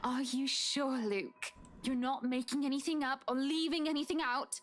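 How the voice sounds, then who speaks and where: Woman acting a high-voiced, composed young wife. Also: steady tone, soft background music, close to an everyday voice. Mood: stern. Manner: measured, stern, deliberate. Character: composed, pointed, aristocratic young wife confronting husband.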